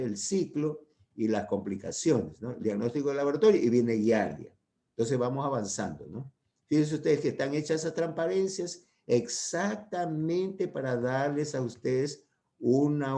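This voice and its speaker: Older man lecturing, mellow and rambling. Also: medium pitch. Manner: rambling, hesitant, full of "¿ya?" and "¿no?" tags. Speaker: university medical lecturer. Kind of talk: informal lecture to students.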